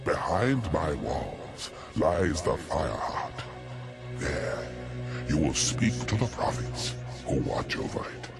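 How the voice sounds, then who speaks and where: An actor voicing an ancient, solemn demon door in a fantasy game, booming, gravelly and ominous. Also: male voice, big pitch swings, slow pace, over music, full character voice.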